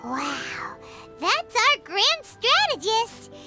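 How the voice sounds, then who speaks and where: A woman voicing a tiny, chirpy, childlike fairy companion in a video game, with a squeaky voice and exclamatory, drawn-out phrasing. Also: wildly swooping pitch, music in the background, exaggerated voice. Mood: awed, hesitant. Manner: exclamatory, drawn-out, third-person self-reference.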